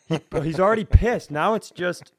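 A man's voice: high voice, normal speed, no room sound, clean studio sound. An American man tells a funny anecdote in a casual, profane podcast style, acting out bits of dialogue as he goes. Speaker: comedy podcast co-host. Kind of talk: casual comedic storytelling.

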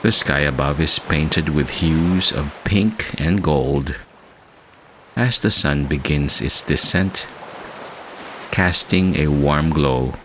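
A man hosting a guided meditation, speaking in a measured, soothing way with long pauses between phrases. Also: very deep voice, a bit bright, a little hiss or hum. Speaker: meditation podcast host. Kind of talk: slow guided meditation narration. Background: music bed.

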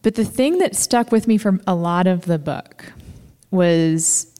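A woman's voice: lowish voice, lively intonation, measured pace, mellow, long reverb tail. A woman podcast host in casual conversation, thinking aloud with lots of fillers. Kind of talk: casual interview chat.

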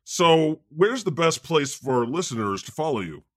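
A man's voice: a little high, normal speed, dry recording, clean studio sound. A man hosting a podcast, joking with his guest in casual talk. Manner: joking, deadpan quips. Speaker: podcast host.

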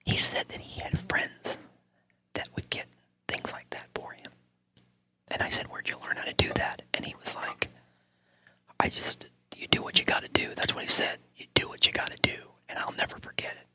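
A middle-aged man whispering in casual podcast banter, with a hushed, breathy delivery. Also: normal speed, poor audio. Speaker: podcast host.

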